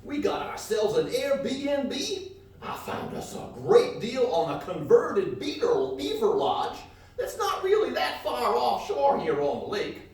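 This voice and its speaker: A man's voice: very high-pitched, darkish tone, full character voice. A man playing a folksy, rambling country travel reporter with a hesitant drawl in a live comedy radio play. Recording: echoey live hall, distant mic.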